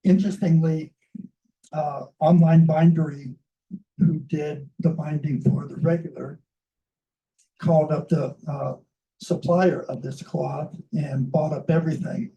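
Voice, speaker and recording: A man with a fairly high voice, very dark and mellow in tone, explains the details of a book. He talks in a relaxed, easy way. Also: measured pace, vocal fry, lo-fi sound. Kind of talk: book event talk.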